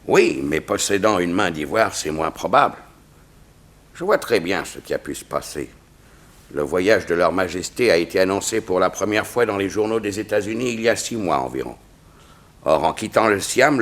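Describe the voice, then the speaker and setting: An actor playing a grave, dignified diplomat with a formal, measured delivery in a 1960s French radio mystery serial. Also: male voice, low voice, fairly bright tone. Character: grave, dignified Siamese diplomat confiding state secrets.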